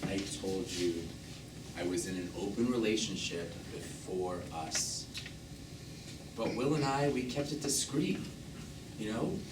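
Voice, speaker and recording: A middle-aged man, pleads and defends himself in stage-drama style. His mid-pitched voice moves widely, with grief underneath. The recording is roomy, with clear noise around it. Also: expressive, measured pace, pauses often. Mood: defensive. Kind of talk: live one-act stage drama acting. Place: small theatre stage, recorded from afar. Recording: distant noisy roomy theatre stage recording.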